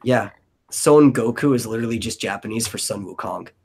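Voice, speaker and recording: A man's voice: fairly low pitch, brisk, husky. A man in a casual brainstorming chat, explaining things and thinking aloud as a stream host.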